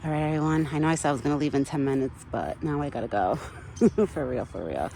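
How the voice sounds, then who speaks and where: A middle-aged woman chatting casually outdoors to her viewers. She keeps breaking into high sing-song baby talk for an animal. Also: expressive, quick pace, gravelly, warm tone, slight background noise. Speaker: vlogger talking to a wild deer. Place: outdoors in a yard.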